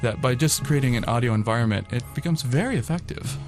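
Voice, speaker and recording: Man explaining things over clear background music. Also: medium pitch, lively intonation, fast. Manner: informal, explanatory. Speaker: radio theatre member, interview guest. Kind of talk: explanatory interview talk. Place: college radio studio.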